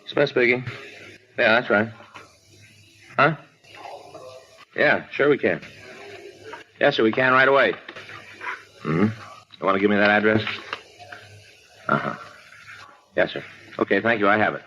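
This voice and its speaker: An actor playing a plainspoken, clipped police detective in a 1950s radio crime drama. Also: male voice, fairly low pitch, measured pace, pauses often, sharp tone. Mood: businesslike. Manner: casual, clipped. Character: plainspoken male police detective, the businesslike partner. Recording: muffled, slightly noisy 1950s radio recording.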